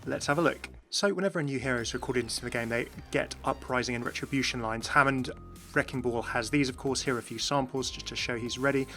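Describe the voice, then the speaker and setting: Fast-talking man presenting a gaming video. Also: mid-range voice, bright, forceful tone. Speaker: host of a gaming channel. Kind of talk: enthusiast video commentary, presenting game voice clips. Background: background music.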